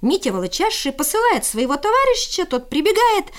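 A woman's voice: very high voice, very animated, fairly quick. A woman storyteller narrating a folk tale expressively, with folksy, theatrical phrasing.

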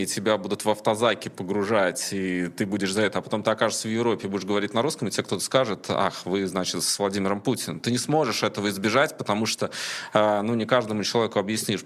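A man from Latvia answering interview questions in a measured, reflective way. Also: on the low side. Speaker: guest.

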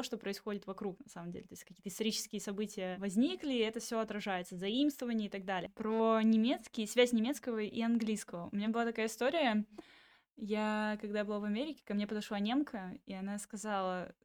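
A woman's voice: mid-range voice, steady tone, fast talker, darkish tone, clean tone. A woman hosting a podcast, asking questions and sharing anecdotes in an easy conversational way. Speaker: podcast host. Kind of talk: casual interview chat.

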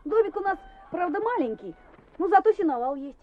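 An actress playing an eager, helpful village schoolboy in a radio play, earnest and enthusiastic. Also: female voice, very high-pitched, lively intonation, brisk, roomy sound. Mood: friendly, eager. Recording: noisy, muffled old radio play.

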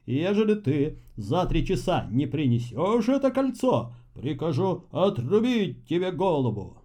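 A man's voice: a little high, very animated, measured pace, mellow. A man playing a crafty, imperious fairy-tale tsar with a pompous, commanding manner. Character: imperious, crafty old-tale tsar giving threatening orders.

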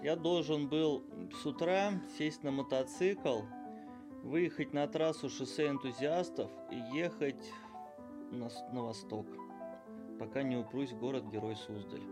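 Joking middle-aged dad, music behind. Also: male voice, medium pitch, measured pace. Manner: joking, rambling. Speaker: guest parent. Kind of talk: casual home recording.

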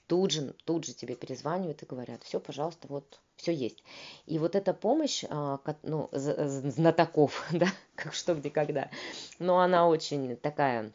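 A woman with a strikingly deep, low voice talks earnestly as a peer consultant. She explains at length and stops often to find the right word. The sound is dull and lo-fi. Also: darkish tone. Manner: earnest, explanatory, pauses to search for words. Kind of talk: conversational interview answer.

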